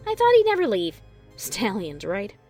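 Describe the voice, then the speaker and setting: A man's voice: sits high, huge pitch swings, moderate pace, darkish tone, faint music behind, pitched above the performer's own voice, full character voice. A man voicing a clever, scheming young female unicorn with a breezy, fake-casual manner.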